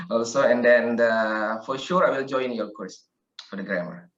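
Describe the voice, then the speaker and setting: A man's voice: mid-range voice, expressive, takes it slowly, music in the background. A man taking part in a webinar thanks the speaker in brief replies, heard over a video call. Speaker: webinar participant. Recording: roomy, dull video-call audio with faint noise.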